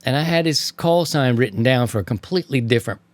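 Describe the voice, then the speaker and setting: A man's voice: medium pitch, lively intonation, quick pace, dry recording. A man hosting an amateur radio show, telling anecdotes in a casual, slangy way and acting out remembered exchanges. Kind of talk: casual anecdotal storytelling to co-hosts.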